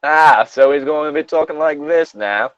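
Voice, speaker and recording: A man's voice: fairly high pitch, moderate pace, a bit bright, clear, resonant tone, no echo, dull audio, voice pushed up, natural voice. A man voicing a sly older wizard villain who poses as a polite reporter, in a put-on English accent, for a comic story reading. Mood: affected.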